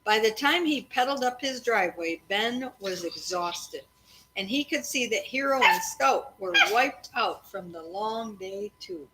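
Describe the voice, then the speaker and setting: Female read-aloud storyteller, mid-pitched, conversational with young listeners, some background noise. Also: lively intonation, measured pace, fairly bright tone, low-quality recording. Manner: breaks off to address and question children.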